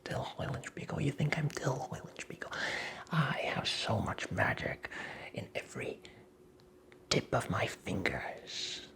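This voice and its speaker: A performer playing a creepy, teasing, very old male magical imp in a whispered ASMR roleplay, with breathy, creaky, theatrical delivery. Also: medium pitch, big pitch swings, fairly bright tone, exaggerated voice. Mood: boastful. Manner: slow, theatrical, taunting, toying with the listener.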